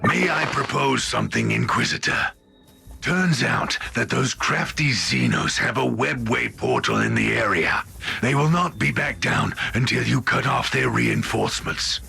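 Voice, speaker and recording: A gruff warrior advisor from a sci-fi game. His voice is heavily gravelly and forceful, formal and emphatic, with faint music behind. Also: medium pitch, animated, a little slow, exaggerated voice. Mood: assured, dry. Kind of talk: video game cutscene voice acting.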